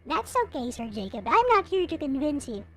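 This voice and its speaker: A woman's voice: high voice, big pitch swings, brisk. A woman hosting a livestream, chatting casually to viewers and replying to their comments. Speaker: livestream host. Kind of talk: casual livestream chat showing collectible figures.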